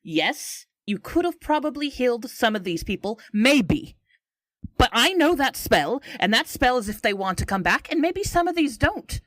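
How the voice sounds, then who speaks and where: A woman acting as a firm, caring female adventurer in a tabletop game. The voice is fairly high and moves expressively. It scolds and reassures in the same breath, in a very dry recording. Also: big pitch swings, normal speed, no echo. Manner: emphatic, scolding yet reassuring. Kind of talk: in-character improvised tabletop roleplay.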